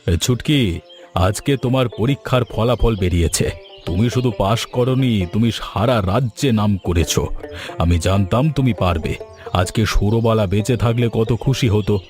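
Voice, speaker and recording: A man playing a kindly, protective gentleman in a cartoon drama, speaking in an earnest, fatherly way. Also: on the low side, a little slow, faint music behind, natural voice. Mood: proud. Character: kindly, protective middle-class gentleman who adopts a village girl. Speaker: cartoon character voice.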